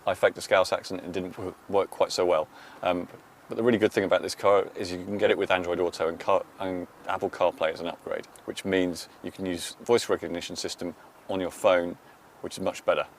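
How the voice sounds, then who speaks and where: A man's voice: low-pitched, steady tone, brisk, slight background noise. A man, a technology journalist giving an enthusiastic, informal review of a car's infotainment system. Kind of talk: product review to camera.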